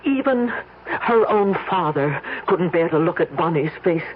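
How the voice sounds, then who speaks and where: A woman's voice: lowish voice, animated, unhurried. A middle-aged woman playing a bitter, scornful mother of a bride in a 1970s radio drama, with a cutting, accusing delivery. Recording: dull old radio recording, faint hiss.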